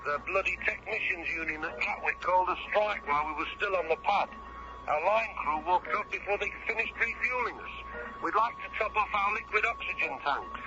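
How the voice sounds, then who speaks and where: High-voiced British freighter captain, over radio. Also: male voice, a little fast, lo-fi sound, music in the background. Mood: wry, matey. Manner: breezy, colloquial, wry. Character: British space freighter captain, irreverent and matey. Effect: radio transmission filter. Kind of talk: radio drama acting. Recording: noisy, band-limited old radio drama transmission.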